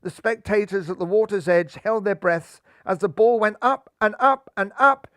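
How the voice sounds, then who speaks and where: An older man reading a children's picture book aloud, lively, lifting his voice for the characters. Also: normal speed, smooth, no echo, studio quality. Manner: lively, raises pitch and volume for characters' lines. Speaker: storybook reader. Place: living room, seated in armchair.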